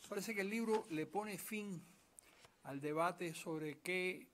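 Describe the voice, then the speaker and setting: A middle-aged man moderates a panel at a conference table, thanking the speaker and posing questions in a measured way, with some mid-phrase restarts. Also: high voice, background noise. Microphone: table stand mic.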